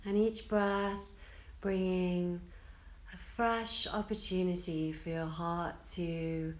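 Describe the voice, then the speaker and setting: Female yoga nidra guide, lowish voice, very slow and soothing delivery, short phrases separated by long pauses. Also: flat delivery. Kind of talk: guided relaxation meditation.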